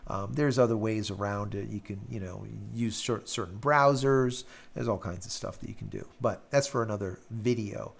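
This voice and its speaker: Male live-stream host, raspy and gravelly, loose rambling delivery with fillers. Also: fairly low pitch, moderate pace, few pauses. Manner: rambling, filler-heavy, hums while scrolling. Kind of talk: casual live-stream chat reading viewer comments.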